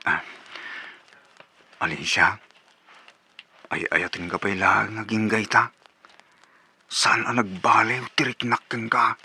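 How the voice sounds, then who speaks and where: A man acting a lovelorn, hapless young suitor in an Ilocano radio comedy drama, with an Ilocano accent and a plaintive, expressive delivery. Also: lowish voice, slow, pauses often, husky, exaggerated voice. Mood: earnest, courting. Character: lovelorn grown son, hapless and eager suitor.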